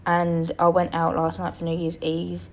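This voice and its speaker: Tired girl chatting over a game build, bit croaky, lowish voice, rambles and corrects herself, soft hiss behind. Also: monotone, normal speed. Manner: rambling, self-correcting, apologetic. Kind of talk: casual voice-over commentary.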